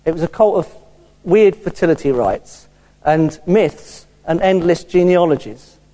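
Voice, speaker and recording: A man's voice: a little high, expressive, unhurried, mellow. A man preaching an informal Bible teaching sermon in a conversational, explanatory way with wry asides. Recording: reverberant hall sound.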